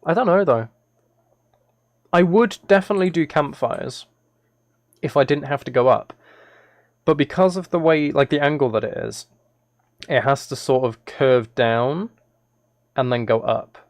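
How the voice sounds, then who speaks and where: Creaky-voiced man chatting on a livestream. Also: on the high side, a little slow, long pauses, no room sound. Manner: thinking aloud, self-correcting. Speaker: live streamer. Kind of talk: casual gaming livestream commentary, chatting with viewers.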